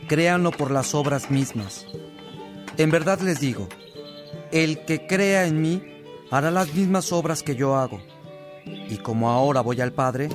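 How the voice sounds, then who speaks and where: A man playing a practical monk who reads scripture in a radio drama, declamatory and deliberate. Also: a little high. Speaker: actor in a religious radio drama. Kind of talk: acted radio drama and solemn scripture reading.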